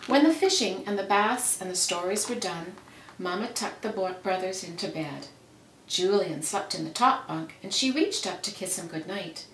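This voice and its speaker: A woman reading a children's story aloud with careful, expressive, dramatic phrasing. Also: mid-range voice, moderate pace. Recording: roomy, noisy, distant microphone.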